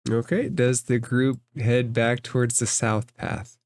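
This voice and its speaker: A man's voice: medium pitch, steady tone, measured pace, a little mellow, no room sound, studio quality. A man acting as game master, narrating a fantasy roleplaying game in formal phrases and putting questions to the players.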